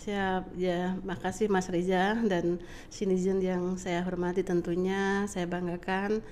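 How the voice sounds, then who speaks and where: A middle-aged woman with a slightly congested, nasal voice, giving polite, halting explanations as an interview guest. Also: on the low side, flat delivery, darkish tone, slight background noise. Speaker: interview guest, government agency director. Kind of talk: conversational explanatory answers.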